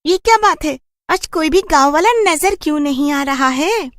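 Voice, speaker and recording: A man voicing a gullible village witch in a cartoon horror story, exclaiming and questioning in a shrill voice. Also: expressive, normal speed, fairly bright tone, no echo, clean studio sound. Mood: puzzled. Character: village witch, loud, astonished and gullible woman.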